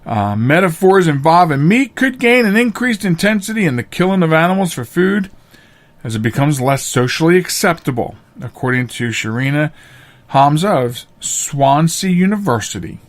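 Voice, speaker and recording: A middle-aged man hosting a podcast, giving casual opinionated commentary in a halting, emphatic way. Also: fairly high pitch, sing-song. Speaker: podcast host.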